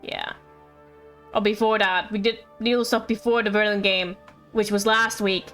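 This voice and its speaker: A woman's voice: mid-range voice, fairly even intonation, a little slow, a bit bright. A woman game streamer chatting casually and directly to her viewers during gameplay. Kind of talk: casual livestream chat over gameplay. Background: faint game music.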